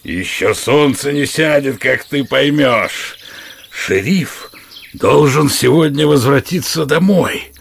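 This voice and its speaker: An actor playing a big, jovial, crafty outlaw in a Russian audio drama, hearty and teasing, with a husky voice. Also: male voice, mid-range voice, takes it slowly, slight background noise. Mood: sly, scheming. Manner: hearty, teasing, mock-humble servility with superiors.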